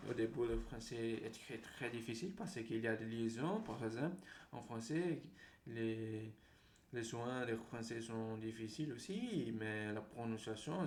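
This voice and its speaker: A man from Brazil with a Brazilian accent and Portuguese as his native language, talking unscripted to viewers in a halting, repetitive way as a language vlogger. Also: medium pitch, a little mellow. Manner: halting, repeats words and phrases. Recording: roomy echo, faint background noise.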